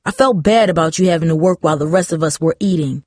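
A woman voicing a stern, protective, angry father in an audiobook story, scolding forcefully. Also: low voice, fast, no echo, studio quality. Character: furious, protective father confronting daughter and boy.

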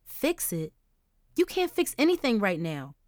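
A woman's voice: a little high, big pitch swings, normal speed, no room sound, clean studio sound, voice pushed up. A woman voicing an upset, defensive fourteen-year-old girl who pleads and then lashes out angrily. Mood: angry. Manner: pleading, then angry and blurting.

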